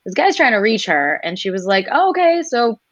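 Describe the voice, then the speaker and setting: A woman in her twenties, a singer guest telling her story in a relaxed interview, animated and acting out other people's lines as she goes. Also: on the high side, brisk, no room sound. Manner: animated, quoting others in lively voices.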